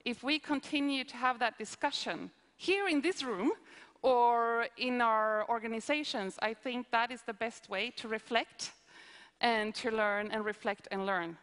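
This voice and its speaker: A Swedish-accented woman with a slightly high voice fields questions at a conference, pausing and restarting as she explains. Her voice rings through a big hall with a long reverb. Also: fairly bright tone. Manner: hesitant, explains with examples. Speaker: conference speaker answering questions. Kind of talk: live Q&A on stage.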